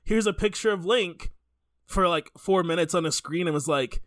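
High-voiced man chatting casually. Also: normal speed, a bit bright, no echo, clean studio sound. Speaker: podcast co-host. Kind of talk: casual banter about video games.

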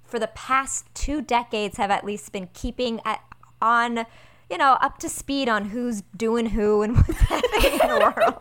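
An American woman chats as a guest on a quiz show. Her voice is fairly high and very expressive, with a giggly, self-deprecating way of talking. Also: measured pace. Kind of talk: casual comedic chat.

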